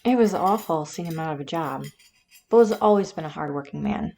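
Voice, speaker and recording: Lady voice acting a southern wife n mom, kinda low and soft-toned, blunt, stubborn, audio play. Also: lively intonation, normal speed, gravelly, a little hiss or hum. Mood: earnest. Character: stubborn, blunt middle-aged wife and mother.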